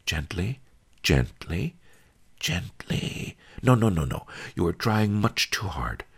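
A man doing a gentle old sage, voice raised high. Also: moderate pace, slightly rough, full character voice. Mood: coaxing. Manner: calm, coaxing, soft-spoken. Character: wise old male sage, gentle teacher.

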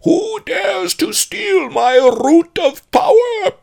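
Slow, booming, high-wailing wrathful spirit. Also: male voice, expressive, vocal fry, darkish tone, full character voice. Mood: menacing, roaring. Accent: Tibetan. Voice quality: hollow, haunted, booming, drawn-out wailing. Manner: slow, menacing, commanding. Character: ghastly wrathful Tibetan spirit, patron of ginseng.

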